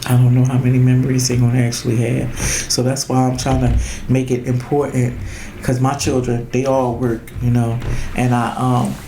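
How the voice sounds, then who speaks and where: A woman's voice: rumbling low, level tone, normal speed. A woman, chatting casually with family and dropping affirming "girl" and "mm-hmm" as she talks. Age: 50s. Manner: warm affirming interjections, drawls "girl" and "mm-hmm". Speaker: guest. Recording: echoey room with faint noise.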